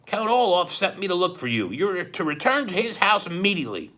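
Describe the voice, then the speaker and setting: Man acting a menacing, croaking storybook henchman. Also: high voice, sing-song, fairly quick, sharp tone, voice pushed up, full character voice. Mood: brusque. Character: menacing hook-handed henchman, sneering threatening man.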